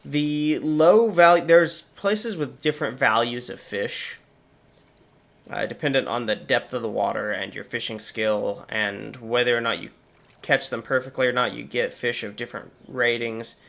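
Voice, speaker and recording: Youngish guy, voice a bit worn, chatty wry gameplay commentary, mid pitch. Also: normal speed, creaky, a bit bright. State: slightly sore, tired voice. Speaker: gaming channel host. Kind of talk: casual game commentary.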